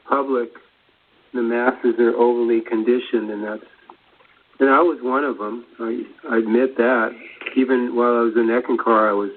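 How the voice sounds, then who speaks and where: A man talks through spiritual ideas in an even, flat tone, hesitating and circling back on his phrases. His voice comes through thin, as if over a telephone. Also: medium pitch, a little hiss or hum. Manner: hesitant, searching for words, repeats himself. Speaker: guest commentator. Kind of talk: rambling spiritual discussion. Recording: thin phone-line radio audio.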